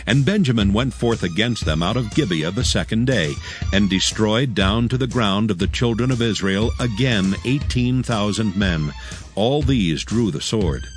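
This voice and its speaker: A man narrating scripture from a script in a solemn, measured way. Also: lowish voice. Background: music.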